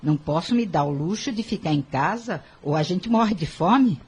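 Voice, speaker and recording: A woman's voice: lowish voice, animated, moderate pace, a little mellow, husky. An actress playing a weary, self-sacrificing poor mother in an audio drama. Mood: weary, resigned. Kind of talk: radio drama acting.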